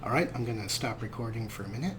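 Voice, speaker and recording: A man's voice: mid-range voice, lively intonation, quick pace, background noise. A man hosting a let's play with wry, amused, casual commentary.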